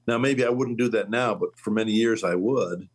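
A husky man in his late sixties hosts a relaxed conversation about faith. He tells stories with sudden leaps in pitch and an easy, chatty pace. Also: moderate pace. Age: 60s. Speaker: host.

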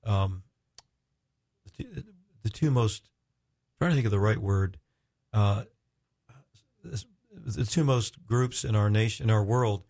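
Low-voiced, effusive male radio host. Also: darkish tone. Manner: effusive, halting and searching for words.

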